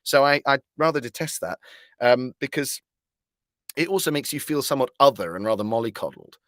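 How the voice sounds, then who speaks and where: A man's voice: medium pitch, a little fast, fairly bright tone, dry recording, studio quality. A man speaking as a voice actor being interviewed, wordy and articulate, with whimsical turns of phrase. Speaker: guest, voice actor. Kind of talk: conversational interview.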